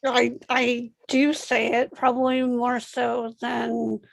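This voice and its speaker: A Canadian woman guest in a casual chat, relaxed and self-deprecating, who says she has a speech impediment. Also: fairly high pitch, takes it slowly, gravelly, no echo.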